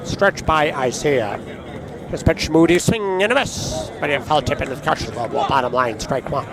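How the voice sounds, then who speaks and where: Raspy, hoarse male play-by-play caller, chatty between pitches, fairly high voice, crowd behind. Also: normal speed. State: hoarse from allergies. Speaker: play-by-play announcer. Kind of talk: baseball play-by-play commentary.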